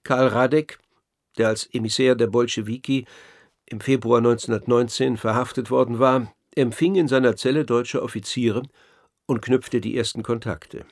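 A man narrating a scripted radio documentary in a measured, articulate, essayistic way. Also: medium pitch, fairly even intonation, dry recording, clean studio sound.

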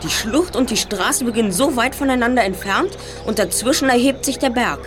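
A woman's voice: on the high side, brisk, over music, natural voice. A woman playing a clever, self-assured boy detective in an audio drama, precise and explanatory.

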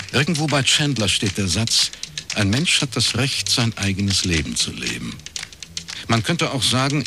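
An actor playing a wry, laconic private detective with a husky voice, in radio crime drama narration and dialogue. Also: male voice, on the low side, noisy background, natural voice. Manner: dry, deadpan, understated. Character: wry, laconic male East Berlin private detective, ex-academic.

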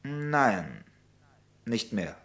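An actor playing a sardonic, stubborn New York police detective in a vintage radio crime drama, with a pointed, emphatic delivery. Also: male voice, medium pitch, big pitch swings, very slow, fairly bright tone, exaggerated voice. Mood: thoughtful.

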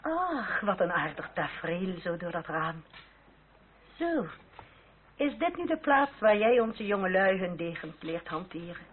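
A woman's voice: lowish voice, sing-song, a little slow. An actress playing an anxious, motherly French aristocratic lady, middle-aged, in an emotional and genteel radio drama style. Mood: motherly, pleading. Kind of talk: period radio drama acting. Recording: tinny, noisy old radio play recording.

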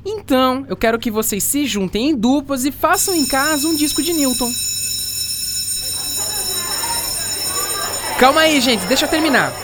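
A patient, encouraging Brazilian science teacher, a male role played by a woman, explaining things with a high, very lively voice. It sounds like an educational radio play, with faint background noise. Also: fairly quick. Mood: patient, instructing. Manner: didactic, explanatory. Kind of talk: scripted educational radio drama.

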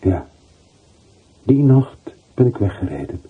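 Tired regretful middle-aged ex-soldier, really husky, halting, thin tinny phone line, old radio drama. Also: male voice, fairly low pitch, sing-song, full character voice. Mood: sombre. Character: weary, regretful middle-aged former soldier, long estranged. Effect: telephone voice filter. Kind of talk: radio play dialogue.

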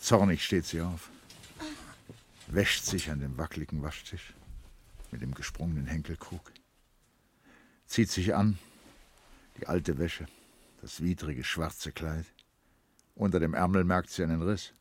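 A man narrating a literary radio play in an expressive, deliberate, dramatic manner. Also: very deep voice, husky. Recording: faint background noise.

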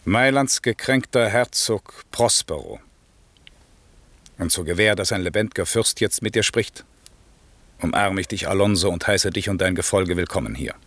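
An actor playing an aging exiled duke and sorcerer in a 1960s radio play, declaiming classical verse with weighty, measured authority and a husky voice. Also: male voice, sits low, a bit bright, no echo. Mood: stately.

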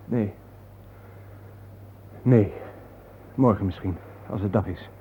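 A man's voice: on the low side, slow pace. An actor playing a weary, troubled family man in a radio drama, plainspoken and uneasy. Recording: narrow phone-like old radio recording, faint hiss.

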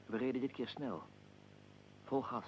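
An actor playing a weary, aristocratic Austrian archduke in a radio drama, speaking formally and with measured dignity in a gravelly voice. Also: male voice, mid-range voice, darkish tone, a little hiss or hum, lightly acted, low-quality recording. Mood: grave recounting. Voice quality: gravelly, creaky. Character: Austrian archduke, aristocratic, weary, recounting his own assassination.

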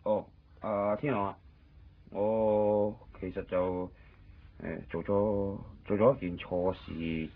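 A man's voice: on the low side, fairly even intonation, slow. A man with a Hong Kong Cantonese accent playing a nervous, apologetic, evasive friend in a radio drama. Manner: hesitant, stammering, pleading. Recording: dull, lo-fi 1990s radio recording with music.

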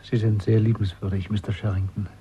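An actor in a radio play voicing a guarded, reticent ordinary man, terse and subdued, with a husky, breathy voice. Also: lowish voice, little pitch movement, a little fast, dark tone. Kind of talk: German radio play acting. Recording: dull radio production with music.

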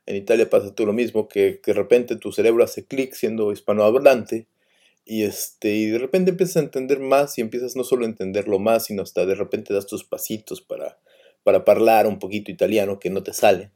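Low mellow man, rambling. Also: fairly quick. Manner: rambling, hesitant, many fillers. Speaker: radio host. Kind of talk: casual radio talk.

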